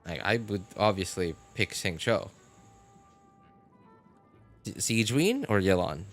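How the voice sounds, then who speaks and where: A man talking casually on a game live stream, rambling with many fillers and getting animated about his opinions. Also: deep voice, unhurried, faint music behind. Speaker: gaming streamer.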